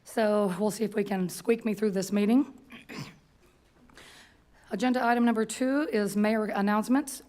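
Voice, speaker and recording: A hoarse woman, the mayor, chairing a council meeting in a plain, businesslike way and often clearing her throat. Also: medium pitch, steady tone, normal speed, pauses often, darkish tone. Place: council chamber.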